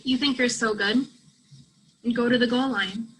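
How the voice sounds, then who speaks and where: An actress playing a guarded, self-doubting international college student and soccer striker in a virtual play reading. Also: female voice, a little high, steady tone, a little slow, lightly acted, low-quality recording. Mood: defiant. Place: remote virtual reading over video call.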